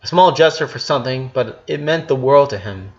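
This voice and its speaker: A man's voice: medium pitch, animated, fairly quick, muffled recording. A man reading a story aloud as narrator in a dramatic audiobook style, now and then stumbling and correcting himself. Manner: occasional stumbles and self-corrections.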